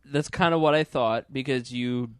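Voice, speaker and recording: A man chatting as a podcast host, medium in pitch and joking in manner. The recording is dry and close, with little room sound. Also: moderate pace, smooth, no echo. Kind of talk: casual podcast banter.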